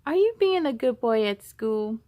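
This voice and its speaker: A woman voicing a doting, gentle grandmother in a storybook, soft and reassuring. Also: a little high, animated, moderate pace, no echo, dull audio, lightly acted. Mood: encouraging.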